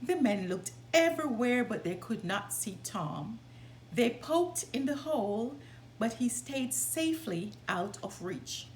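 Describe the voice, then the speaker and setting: A woman reading a children's story aloud as a storytime host, with expressive, deliberate delivery. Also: on the high side, slight background noise, low-quality recording.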